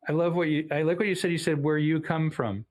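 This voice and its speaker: A man's voice: fairly high pitch, steady tone, fast. A man hosting an interview, enthusiastic and full of praise for his guest. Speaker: interview host. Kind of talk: interview host.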